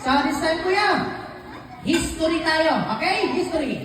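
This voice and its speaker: A woman's voice: very high voice, a little slow, crowd noise. A woman with a Filipino accent hosting a live puppet show with playful, exclamatory patter. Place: large echoey room with an audience. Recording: echoey noisy hall, far from mic.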